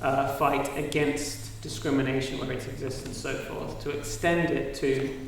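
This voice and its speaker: A man's voice: medium pitch, level tone, normal speed, vocal fry. A man on a debate panel speaking with careful, hedged phrasing and fillers. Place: large university auditorium. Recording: echoey hall, far from the mic, faint hiss.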